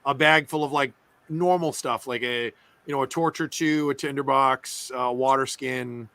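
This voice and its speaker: A man's voice: medium pitch, fairly even intonation, moderate pace, pushed and bright, no room sound. A man chatting casually as a player in a tabletop game, often repeating his phrases as he goes.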